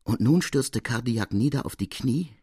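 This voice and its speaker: A man's voice: mid-range voice, lively intonation, normal speed, warm tone, slightly rough, dry recording, studio quality. A man narrating classic literature in a dramatic, scripted storytelling style.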